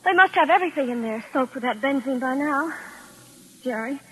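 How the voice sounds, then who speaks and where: A plucky, easily exasperated secretary in a vintage radio mystery. Her voice is high and bright, with some noise on the old broadcast. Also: lively intonation, a little fast, low-quality recording. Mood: worried, skeptical. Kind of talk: 1940s radio mystery acting.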